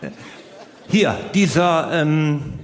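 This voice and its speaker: A man's voice: fairly high pitch, expressive, drawn-out words. A middle-aged man doing stand-up comedy in a colloquial, mock-exasperated way, full of rhetorical questions. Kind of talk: stand-up comedy to a live audience. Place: large live venue. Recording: roomy live hall, noisy background.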